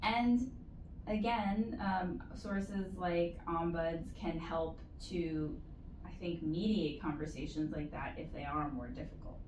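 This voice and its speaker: A woman giving measured, explanatory advice as an interview guest, in a conversational way with stretched emphasis. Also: fairly low pitch, a little mellow. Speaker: interview guest, university postdoctoral support staff. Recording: roomy echo, distant mic, faint noise.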